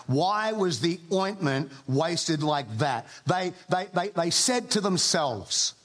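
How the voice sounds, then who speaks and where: Guy preaching a sermon, high voice, slow, repeats words when he restarts. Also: a bit bright. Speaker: pastor.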